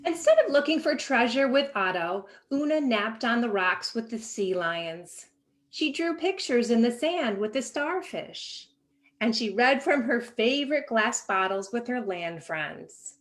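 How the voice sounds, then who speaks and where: Female children's narrator, higher pitch, very expressive melody, takes her time and pauses for drama. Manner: animated, measured, dramatic pauses. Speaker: storyteller reading a picture book aloud.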